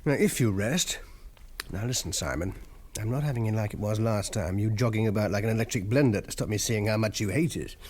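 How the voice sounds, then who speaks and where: An actor playing a sardonic visiting lover in a radio drama, with dry, mocking asides. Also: male voice, on the low side, fairly quick, darkish tone, slight background noise, lightly acted. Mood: blunt. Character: sardonic visiting lover, wry about the rich. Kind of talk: radio drama acting with inner monologue.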